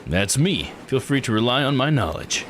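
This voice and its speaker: A man's voice: medium pitch, big pitch swings, moderate pace, a bit bright, a little hiss or hum. A man reading the part of an easygoing, wry male sightseer in a visual novel. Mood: casual, wry. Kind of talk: visual novel character read-aloud.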